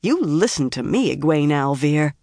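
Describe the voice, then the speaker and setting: A woman's voice: low voice, a little fast, no echo, clean studio sound, close to an everyday voice. A woman reading an audiobook, voicing a proud village wise woman who argues indignantly and tries to sound authoritative.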